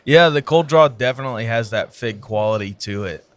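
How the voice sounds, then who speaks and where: A man's voice: mid-range voice, a little fast. A man co-hosting a casual podcast from a cigar lounge, wisecracking and teasing. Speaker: podcast co-host. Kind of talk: casual chat.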